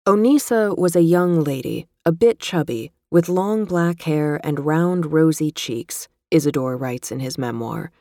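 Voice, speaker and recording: Low, mellow woman reading a feature. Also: dry recording, clean studio sound. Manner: measured, even, literary delivery. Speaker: narrator reading a magazine feature. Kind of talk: scripted narration.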